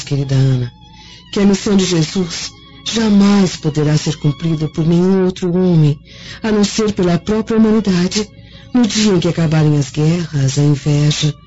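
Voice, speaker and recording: Radio drama daughter, played by a woman: earnest, devout, formal, soft-edged timbre, faint music behind. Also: fairly low pitch, normal speed, lo-fi sound, natural voice. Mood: reverent. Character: earnest, devout grown daughter of a Roman senator.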